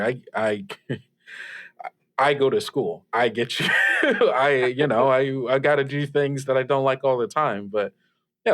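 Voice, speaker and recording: A man playing a young, supportive student host of a radio call-in show, talking casually and haltingly in an improvised audio drama. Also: medium pitch, close to an everyday voice. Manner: halting, casual, chuckling, reassuring.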